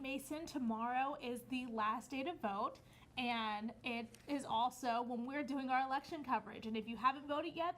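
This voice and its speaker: Woman analyst, higher voice, cheerful informative read, faint hiss. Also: fairly bright tone, rough recording. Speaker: political analyst. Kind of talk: scripted news segment.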